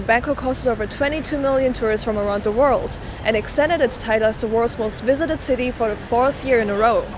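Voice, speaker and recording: A woman hosting, delivering a scripted introduction. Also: a little high. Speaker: host. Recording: clear traffic noise behind.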